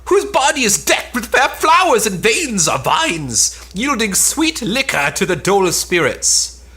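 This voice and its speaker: A woman's voice: medium pitch, big pitch swings, unhurried, bright, forceful tone, breathy edge, heavily acted. A woman playing a proud, vengeful spurned earth goddess, declaiming archaic lines in an amateur cold read of an Elizabethan play. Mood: indignant, boastful.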